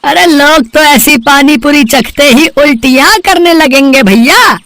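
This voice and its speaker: A man's voice: very high-pitched, a little slow, pushed and bright, dry recording, heavily acted. A man voicing a spiteful rival street food vendor, mocking and jeering, in a moral story.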